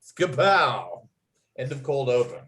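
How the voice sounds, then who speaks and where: A man's voice: medium pitch, sing-song, takes it slowly, fairly bright tone. A man reading script stage directions aloud as narrator, with clipped, dramatic scene headings. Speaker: narrator and writer.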